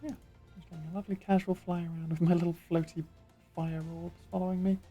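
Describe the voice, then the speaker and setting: A woman's voice: lowish voice, level tone, fast talker, dark tone, soft background music. A woman streamer chatting playfully and casually while playing a game, laughing easily. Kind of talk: casual let's-play commentary.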